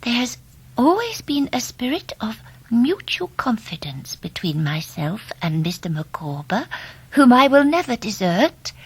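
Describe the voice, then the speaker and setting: Melodramatic genteel wife, English accent, slow florid delivery, very breathy husky voice, confiding, period radio drama. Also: female voice, a little high, exaggerated voice, rough recording. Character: melodramatic, genteel, devoted middle-aged wife.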